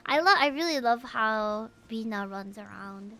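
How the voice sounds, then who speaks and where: A woman's voice: a little high, measured pace, faint music behind. A woman, reacting casually and expressively as she livestreams a game. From Philippines. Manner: expressive, exclamatory.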